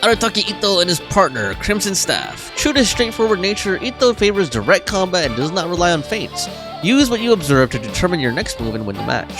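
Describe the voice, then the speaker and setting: A woman's voice: fairly low pitch, very animated, fairly quick. A woman streaming a game with casual commentary, sometimes reading the on-screen text aloud. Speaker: gaming streamer. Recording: game music behind the voice. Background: video game music.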